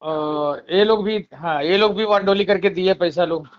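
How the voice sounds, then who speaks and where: Male caller, high pitch, casual talk, lo-fi recorded call. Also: moderate pace. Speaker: caller in a leaked phone recording. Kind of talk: casual phone conversation. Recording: muffled recorded phone call.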